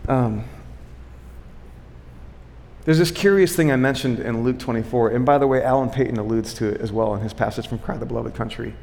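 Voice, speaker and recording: A man's voice: mid-range voice, fairly quick, gravelly, pauses often, a little mellow. A man preaching a conversational sermon, using rhetorical questions, repeated phrases and frequent check-ins with his listeners. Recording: long hall reverb.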